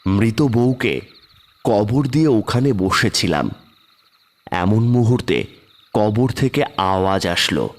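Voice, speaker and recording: A man's voice: fairly low pitch, animated, slow pace, long pauses. A man narrating a horror story in the first person.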